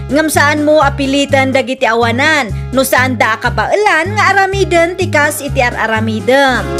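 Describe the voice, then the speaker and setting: A woman with an Ilocano accent hosting and narrating a radio drama in a scripted style over music. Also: high voice. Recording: music bed behind the voice.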